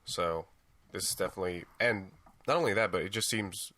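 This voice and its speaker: A man co-hosting a gaming podcast, with a baritone voice and a laid-back, teasing manner. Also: fairly quick, pushed and bright. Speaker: podcast co-host. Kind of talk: casual podcast chat.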